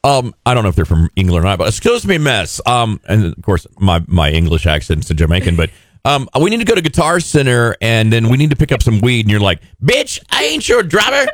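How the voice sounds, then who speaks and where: A man hosting morning radio on a close, dry studio mic. His voice jumps around as he teases and cracks quick jokes, and his pitch sits in the middle. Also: wildly swooping pitch, dry recording. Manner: playful, teasing, quick jokes. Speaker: radio host. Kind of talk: casual morning show banter.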